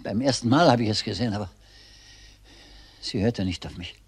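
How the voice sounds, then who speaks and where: An actor playing a grieving, bitter older schoolteacher in a crime drama, speaking haltingly and insistently. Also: male voice, on the low side, husky. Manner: halting, emphatic, repeating himself. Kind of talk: German crime drama acting.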